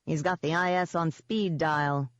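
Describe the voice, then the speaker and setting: A woman's voice: sits low, fairly even intonation, unhurried, dry recording, muffled recording. A woman voicing a tiny, impatient male pixie in an audiobook, confiding and agitated. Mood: conspiratorial.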